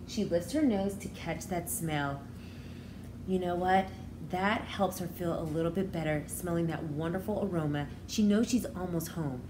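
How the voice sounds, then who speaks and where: Female teacher for a children's read-aloud, lively intonation, explains as she goes, noisy recording. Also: fairly low pitch. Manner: expressive, simple explaining to young children. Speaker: preschool educator.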